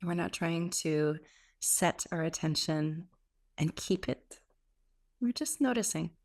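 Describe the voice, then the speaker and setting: A woman leading a guided meditation as a teacher, speaking in an unhurried way with gentle pauses between phrases. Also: on the low side, lively intonation. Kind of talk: guided meditation, softly instructive.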